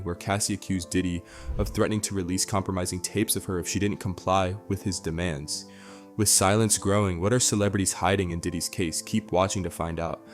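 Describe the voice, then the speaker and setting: A man with a low voice narrates scripted celebrity news. His delivery is measured and dramatic, with soft music playing underneath. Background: background music.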